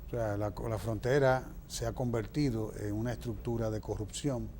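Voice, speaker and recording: A man's voice: on the low side, fairly even intonation, moderate pace, dark tone, a little hiss or hum. A man with a Dominican Spanish accent giving opinionated, explanatory political answers as an interview guest, emphatic and fond of rhetorical questions.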